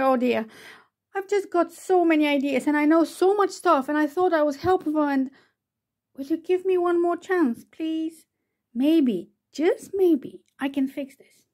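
A woman voicing an excitable, chatty know-it-all owl in a children's storybook read-aloud. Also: high voice, unhurried. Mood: sheepish, pleading. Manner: excitable, gushing, boastful. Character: eager, chatty know-it-all owl, bossy but helpful.

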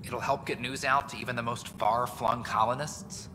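A weary but eager reporter in a space game, played by a man, pitching his work with quick enthusiasm. A long reverb hangs on his voice, and a clear ambient hum runs behind. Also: steady tone, lightly acted. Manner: eager, fast-talking, a little weary. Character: tired, eager male news reporter. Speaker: news reporter.

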